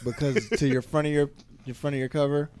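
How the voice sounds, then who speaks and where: A man's voice: a little high, little pitch movement, brisk, darkish tone. A man chatting casually as a panelist on a music critique show, blunt, slangy and freely swearing.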